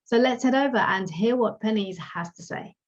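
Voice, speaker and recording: A woman's voice: a little high, moderate pace. A woman hosting a podcast as a career coach, reading a polished upbeat introduction and then interviewing her guest. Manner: polished, upbeat promotional delivery. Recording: dull lo-fi sound.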